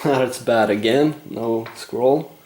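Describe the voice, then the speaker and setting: Man, mid-pitch, casual board game commentator with a slightly hoarse voice; roomy echo. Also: takes it slowly, heavy background noise. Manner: explains rules while thinking aloud, frequent "uh" fillers. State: losing his voice, clearing throat. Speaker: board game player narrating a play-through.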